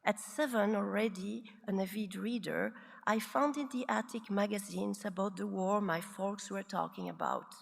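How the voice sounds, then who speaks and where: A woman in her sixties from Belgium, a psychiatrist giving a prepared, reflective conference talk with measured, deliberate phrasing. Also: medium pitch, gravelly, in a large hall. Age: 60s. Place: large conference hall.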